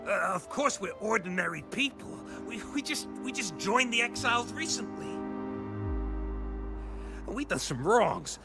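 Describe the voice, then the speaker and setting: An actor voicing a rough, shifty bandit in a video game, with blustering, folksy slang and a grudging, bullying manner. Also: male voice, high voice, big pitch swings, measured pace, loud music behind the voice, exaggerated voice. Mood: sheepish.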